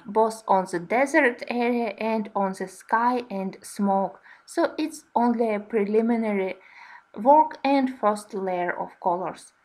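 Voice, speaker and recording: A woman, a native Russian speaker, narrating a tutorial voice-over in careful, slightly halting non-native English. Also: mid-range voice. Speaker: host of a coloring tutorial channel.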